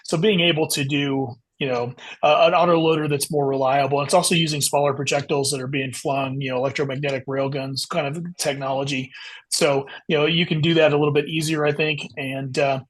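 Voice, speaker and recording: Fast-talking husky man, slightly high voice. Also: steady tone. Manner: explanatory. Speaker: guest author. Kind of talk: casual interview discussion.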